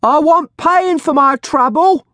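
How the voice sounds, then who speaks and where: A man voicing a shrill, bold old woman who hawks her wares to a street crowd in an audiobook. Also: slow pace, dry recording. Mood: bold, scolding. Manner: bold, haranguing street-seller's shouting. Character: fat, shrill old tavern woman hawking a talking bird.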